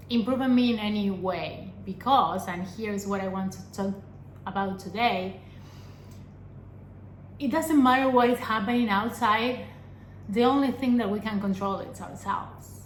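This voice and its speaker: A young adult woman from Argentina, a vlogger giving an earnest personal talk to camera, pausing often and asking rhetorical questions. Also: mid-range voice, slight background noise. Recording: echoey room with faint ambience.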